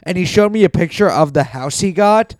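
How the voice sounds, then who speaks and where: A man's voice: sits high, animated, brisk, no room sound. A man co-hosting a comedy podcast who teases his friends and rambles through stories with many fillers. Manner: teasing, rambling storyteller. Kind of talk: casual comedy podcast chat.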